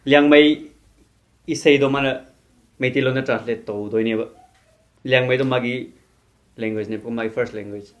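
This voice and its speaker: A man's voice: medium pitch, steady tone, normal speed, pauses often, dull audio. A man hosting a reaction video, chatting casually and laughing often. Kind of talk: casual chat.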